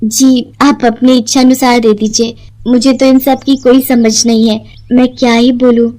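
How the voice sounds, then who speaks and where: A woman voicing a worried, tender, humble village mother in a gentle storybook cartoon manner. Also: on the high side, level tone, unhurried, pitched above the performer's own voice, close to an everyday voice. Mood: humble, hopeful.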